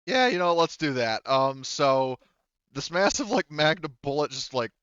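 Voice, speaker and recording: A man's voice: fairly high pitch, very animated, measured pace, fairly bright tone, clean tone, dry recording, dull audio. A man talking casually as game master of a tabletop game, informal and swearing freely. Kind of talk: casual tabletop game narration and rules talk.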